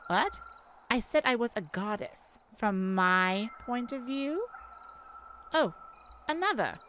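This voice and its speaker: A woman's voice: on the high side, expressive, a bit bright, a little hiss or hum. A woman playing a haughty yet kindly goddess of light in an ASMR audio roleplay, theatrical and teasing. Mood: curious, lofty. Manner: theatrical, teasing, mock-offended, with pauses.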